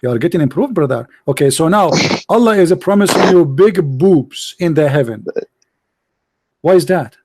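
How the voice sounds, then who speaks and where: A man, hosting callers and mocking them with rapid, repeated questions. His voice sits a little high for a man. It is recorded close and dry, with no room sound. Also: lively intonation, darkish tone, breathy edge, no room sound, rough recording. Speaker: host taking callers. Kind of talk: combative live-stream debate.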